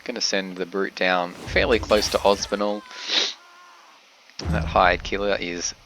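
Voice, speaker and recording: Congested man with a gravelly low voice. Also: slight background noise, poor audio. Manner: relaxed, explains as he plays, frequent "uh" pauses. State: has a cold, slightly congested. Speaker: gameplay commentator. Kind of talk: casual game commentary.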